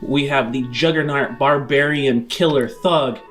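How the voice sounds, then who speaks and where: A man's voice: mid-range voice, measured pace, soft background music. A man hosting a game podcast as game master in a casual, conversational style.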